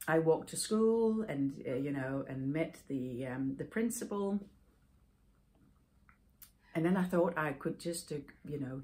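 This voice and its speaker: A woman in her forties from Denmark, a native Danish speaker, hosting a knitting podcast and telling a personal story in a thoughtful, halting way with many fillers. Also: low-pitched, darkish tone. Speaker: podcast host. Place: home room with yarn shelves, indoors.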